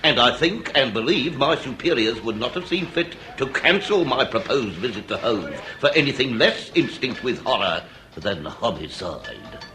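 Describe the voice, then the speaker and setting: An actor playing a pompous, irascible Victorian police inspector with a British accent, speaking in florid, blustering, orotund phrases for a comic period radio drama. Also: male voice, medium pitch, sing-song, normal speed, crowd noise, lightly acted, low-quality recording. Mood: grandly ominous.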